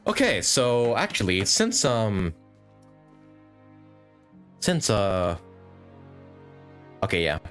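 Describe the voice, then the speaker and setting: A man streams a game in a low-pitched voice with animated intonation. He comments casually and thinks aloud, repeating short phrases, with faint music behind him. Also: extremely slow, long pauses. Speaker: game livestreamer. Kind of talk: casual live gameplay commentary.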